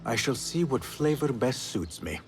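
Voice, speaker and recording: An actor playing an earnest, protective young agent in a video game, with formal phrasing. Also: male voice, mid-range voice, big pitch swings, moderate pace, faint music behind, lightly acted. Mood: earnest, calm. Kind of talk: video game cutscene dialogue.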